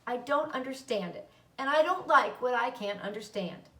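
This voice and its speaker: A woman's voice: fairly high pitch, animated, moderate pace, a bit bright. A woman reading a children's book, voicing a worried, nervous mother with an anxious, questioning manner. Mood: puzzled. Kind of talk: children's book read-aloud. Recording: roomy echo, distant microphone.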